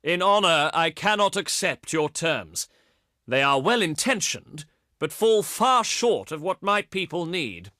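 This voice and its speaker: Pompous, high-pitched ancient Greek diplomat. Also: male voice, very animated, a little slow, bright, forceful tone, no room sound, studio quality, heavily acted. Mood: courteous, firm. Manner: pompous, oratorical, declaiming in ornate phrases. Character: proud ancient Greek diplomat, eloquent, scornful, theatrical man. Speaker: voice actor in a strategy game. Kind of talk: theatrical video game diplomacy voice lines.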